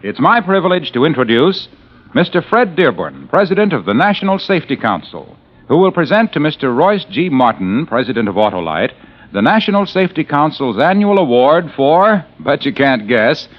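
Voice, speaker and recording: A man's voice: medium pitch, sing-song, normal speed. A hearty male radio announcer introduces a sponsor's award with emphatic, salesman-like delivery. His voice bounces animatedly, captured on a dull, lo-fi old broadcast.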